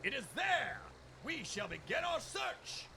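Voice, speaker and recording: Here is a boastful inspector from a video game, grandiloquent and theatrical. The voice sits high and leaps around dramatically, declaiming like a stage hero. Music plays clearly behind. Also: male voice, big pitch swings, a little slow, full character voice. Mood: boastful, grand.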